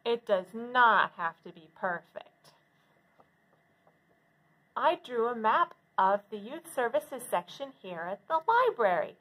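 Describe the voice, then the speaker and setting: A woman's voice: on the high side, big pitch swings, slow pace, pushed and bright, low-quality recording. A woman presenting as a children's librarian, explaining things to young viewers in simple steps. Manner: simple, clearly phased step-by-step explaining. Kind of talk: instructional talk for children.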